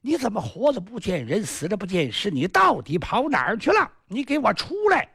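A shrill, half-crazed young wife wails and scolds her missing husband in a storytelling performance, as a male narrator puts her on. Her pitch climbs high and swings wildly. The voice has a husky grain and is recorded dry and close. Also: huge pitch swings, brisk, fairly bright tone, dry recording, full character voice. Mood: shrieking grief. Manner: wailing, shrieking complaints. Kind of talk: traditional storytelling.

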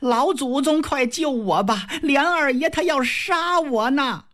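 A woman's voice: a little slow, a bit bright. A woman storyteller voicing a fierce, jealous young noblewoman who screams and wails. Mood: wailing. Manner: shrill, screaming.

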